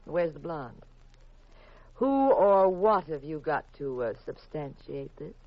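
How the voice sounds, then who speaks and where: An arch, low-voiced American radio wife. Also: female voice, animated, takes it slowly, no echo, exaggerated voice. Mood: wry, suspicious. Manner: arch, wry. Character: sharp, teasing, suspicious detective's wife. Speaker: radio actor. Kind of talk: 1950s radio drama acting. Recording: dull, lo-fi 1950s radio recording.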